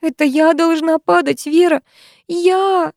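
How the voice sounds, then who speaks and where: A woman's voice: sits high, moderate pace, mellow, dry recording, studio quality, pitched above the performer's own voice, close to an everyday voice. A woman reading an audiobook, voicing a desperate teenage schoolgirl who pleads through tears with a breaking voice. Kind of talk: audiobook character voice.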